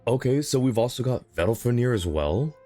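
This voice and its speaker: A man's voice: mid-range voice, animated, fairly quick, dark tone. A man giving casual live-stream commentary as he plays a video game. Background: video game music.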